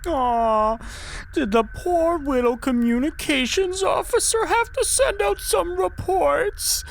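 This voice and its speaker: Bossy, needling spaceship crewmate, dismissive, audio drama, slight background ambience. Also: male voice, extremely high pitch, slow, creaky, slight background noise, exaggerated voice. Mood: mocking. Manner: taunting, dismissive. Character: mocking, bossy male crewmate.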